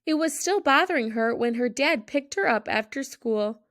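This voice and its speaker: A woman narrating a children's storybook in an expressive read-aloud style. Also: high voice, normal speed, no echo, clean studio sound.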